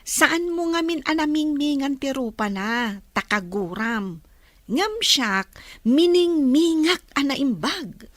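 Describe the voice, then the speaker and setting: An actress plays a curious young woman, a thoughtful best friend. Her voice is very high and swings widely, with slow, hesitant, musing radio drama delivery. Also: animated, dry recording. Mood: doubtful.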